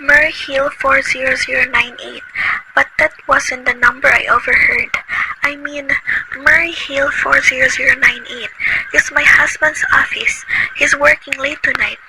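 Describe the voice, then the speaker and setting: A woman acts a fretful bedridden wife in a radio play, high-voiced and imperious. Her lines pour out anxious and demanding. A loud hiss runs under the whole recording. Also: fairly even intonation, moderate pace. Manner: shrill, agitated, imperious. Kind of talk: amateur radio play acting.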